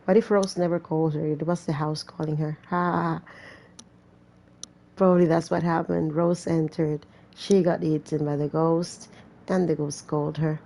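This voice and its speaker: A woman's voice: sits low, level tone, normal speed, vocal fry, dark tone. A woman doing casual gameplay commentary, reading a story aloud with chatty, joking asides. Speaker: gamer playing and reading aloud a horror visual novel.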